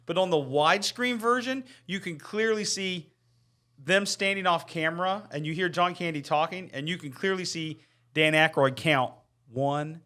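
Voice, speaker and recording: Higher-pitched man chatting enthusiastically. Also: moderate pace, sharp tone. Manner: enthusiastic, quoting lines. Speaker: podcast panelist. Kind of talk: casual chat about favorite movies.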